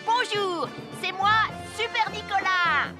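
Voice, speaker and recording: A woman's voice: very high voice, slow. A woman voicing an eager, self-important little boy playing superhero in a French children's cartoon. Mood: boastful. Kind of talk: French animated cartoon safety spot. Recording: music playing behind the voice.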